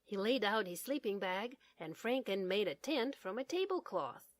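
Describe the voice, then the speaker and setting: A woman's voice: mid-range voice, sing-song, moderate pace, no echo. A woman narrating a children's story as a read-aloud. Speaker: storybook reader.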